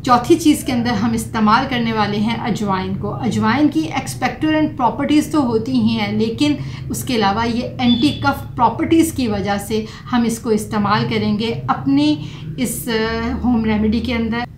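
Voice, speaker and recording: A woman's voice: on the high side, normal speed. A middle-aged woman, a doctor explaining home remedies to camera and mixing in English terms. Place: small furnished room indoors. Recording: roomy echo, faint background noise.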